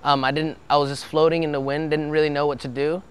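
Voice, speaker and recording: Young man from Brazil, documentary interviewee, sincere and halting, slightly high, resonant tone. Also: level tone, clean tone. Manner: earnest, halting with restarts.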